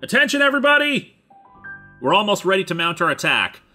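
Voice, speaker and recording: A man's voice: high-pitched, sing-song, brisk, sharp tone, soft background music, full character voice. A man playing an earnest, self-critical leader of an embattled group in a web film, speaking emphatically. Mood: rallying. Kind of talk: scripted web film dialogue.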